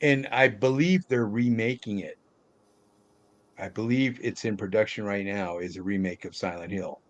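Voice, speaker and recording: Man chatting casually, halting delivery. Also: lowish voice, lo-fi sound. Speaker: podcast panelist discussing films. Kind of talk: casual chat.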